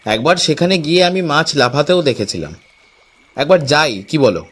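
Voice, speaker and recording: An eager village fisherman from a cartoon, acted by a man. His voice sits a little high and swings up and down in lively fashion. He sounds knowing and persuasive. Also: moderate pace, husky, exaggerated voice. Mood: eager, explaining. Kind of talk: Bangla cartoon voice acting.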